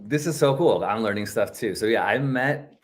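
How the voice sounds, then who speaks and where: A man's voice: lowish voice, moderate pace, slight background noise. A man hosting a casual live interview chat, enthusiastic and informal, often breaking off and restarting his own sentences.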